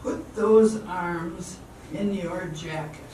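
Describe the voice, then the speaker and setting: A woman playing a romantic leading lady in an old-time radio drama, with slow theatrical delivery, picked up from a distance in a roomy space. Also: on the low side, warm tone. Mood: playfully bossy. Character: Hannah, lovelorn young woman turned playfully bossy sweetheart. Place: live amateur performance in a hall. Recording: roomy, distant stage mic with faint noise.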